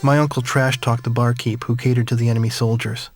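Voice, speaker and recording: Male narrator, reflective man acting as a war survivor looking back on childhood; mid pitch, very even delivery, somber and measured, prominent music underneath. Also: close to an everyday voice. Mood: wistful, somber. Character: reflective man recalling his wartime childhood. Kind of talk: scripted story narration. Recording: music behind the voice.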